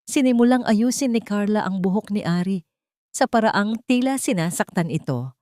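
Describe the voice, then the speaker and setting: A woman's voice: mid-range voice, lively intonation, normal speed, darkish tone, dry recording, studio quality. A woman narrator reading a scripted, dramatic audio drama story.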